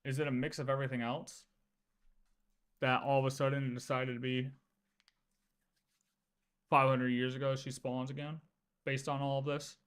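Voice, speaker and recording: A man livestreaming in a casual, animated way, talking through lore with viewers, repeating phrases and often checking that they follow. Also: medium pitch, moderate pace, long pauses, smooth. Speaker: streamer.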